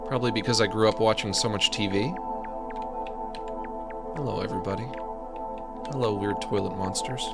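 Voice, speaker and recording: Man, general American accent, silly rambling game stream talk, music clearly playing underneath. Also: fairly low pitch, steady tone, normal speed, long pauses. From New England. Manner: goofy, rambling, laughing at own jokes. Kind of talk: casual live game commentary.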